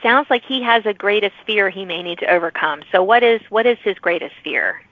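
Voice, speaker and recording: A woman's voice: medium pitch, expressive, fairly quick, dry recording. A woman hosting a podcast, interviewing guests in a conversational way. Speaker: podcast host. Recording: dry, slightly dull lo-fi audio.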